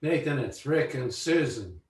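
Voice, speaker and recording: A man's voice: medium pitch, lively intonation, measured pace, a little mellow. A man asking an informal question as a caller on a conference call. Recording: distant, echoey call audio.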